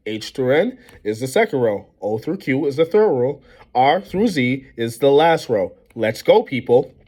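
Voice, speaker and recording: A man voicing a brisk, annoyed female middle school teacher who loudly herds pupils in a storybook reading. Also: mid-range voice. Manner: loud, insistent, commanding.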